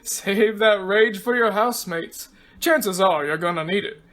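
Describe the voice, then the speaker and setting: A woman's voice: mid-range voice, measured pace, fairly bright tone, natural voice. A woman voicing a breezy, teasing male reality-show host in a story, showy and glib.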